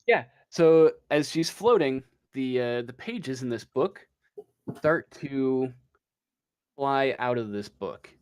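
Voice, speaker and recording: A man improvising narration as game master of a tabletop roleplaying game, often pausing mid-sentence as he goes. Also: mid-range voice, animated, a little mellow, clear, resonant tone, dry recording.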